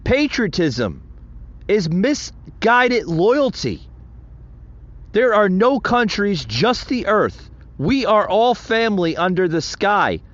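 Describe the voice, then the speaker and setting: A man's voice: sits high, very animated, slow pace, a bit bright, dull audio. A man hosting a podcast, giving impassioned solo political commentary and often calling out to his listeners as folks. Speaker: podcast host.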